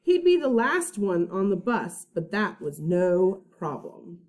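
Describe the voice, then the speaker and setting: A woman teacher reading a children's storybook aloud with dramatic emphasis, pausing to put questions to young listeners. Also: medium pitch, big pitch swings, darkish tone. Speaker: first-grade teacher.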